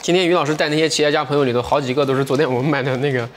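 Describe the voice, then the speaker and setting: A man's voice: on the high side, racing, background noise. Playful man hosting a livestream sale. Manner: playful, self-mocking, talking to viewers while eating. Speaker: livestream sales host. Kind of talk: livestream selling with reflective asides. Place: livestream studio set with shelves and a table.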